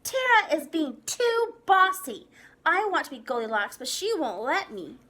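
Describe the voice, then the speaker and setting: Lady doing a whiny little dino girl, high voice, loud complaints. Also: very animated, a little slow, full character voice. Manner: complaining, pleading.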